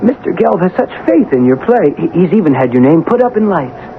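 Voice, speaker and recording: Harried, high-voiced assistant, old radio. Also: male voice, big pitch swings, brisk. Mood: placating, flustered. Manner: stammering, reasoning, wry. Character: harried, placating theatrical producer's male assistant. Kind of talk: 1970s radio drama acting. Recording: phone-like old radio, faint noise.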